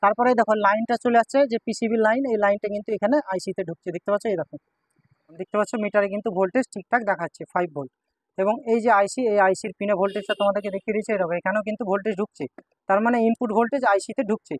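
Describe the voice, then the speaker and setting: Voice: mid-range voice, steady tone, very fast, no echo. A person giving a step-by-step hands-on repair demonstration, repeatedly pointing things out to viewers. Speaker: electronics repair tutorial presenter.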